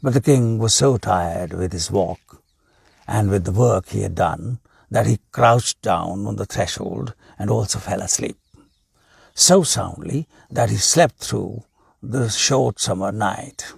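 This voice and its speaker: A man's voice: lowish voice, slow pace, creaky, breathy edge, no room sound. A man reading a tale aloud as a storyteller. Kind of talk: read-aloud tale narration.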